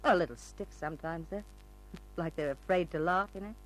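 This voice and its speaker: A woman's voice: on the low side, moderate pace, lightly acted, low-quality recording. An actress playing a brash, slangy, eccentric woman with a shrewd side in an old-time radio drama. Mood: amused, confiding. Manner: slangy, bold, theatrical. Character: brash eccentric older woman, street character secretly shrewd and refined. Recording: old radio recording with music.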